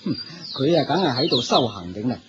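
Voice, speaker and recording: A man's voice: medium pitch, sing-song, a little fast, natural voice. An actor with a Hong Kong Cantonese accent playing a plain-spoken, respectful and curious layman in a radio drama, colloquial and earnest. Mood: observant. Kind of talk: Buddhist radio drama acting. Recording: muffled, noisy lo-fi radio recording.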